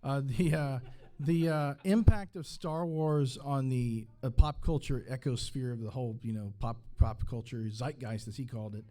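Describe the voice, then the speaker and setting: Mellow-voiced man hosting an event interview. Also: medium pitch, moderate pace. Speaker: podcast host interviewer. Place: live at a collectors convention.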